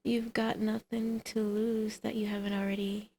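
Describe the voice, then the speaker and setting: A woman playing a teasing, flirtatious villainess in an intimate ASMR roleplay, with a creaky voice that often drops to a breathy whisper. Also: mid-range voice, level tone, normal speed, warm tone, lightly acted. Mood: seductive persuasion.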